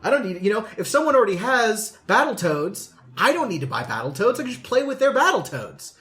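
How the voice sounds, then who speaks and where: A man's voice: sits high, big pitch swings, a little fast, bright, forceful tone. A man co-hosting a casual podcast chat, telling anecdotes conversationally and swearing casually. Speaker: podcast co-host.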